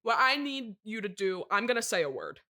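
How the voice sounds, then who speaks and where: An actress playing an abrasive, sardonic middle-aged horror writer in a comedy mock interview, blunt, deadpan and needling. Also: female voice, mid-range voice, a little fast. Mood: sinisterly pushy. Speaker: interviewed guest.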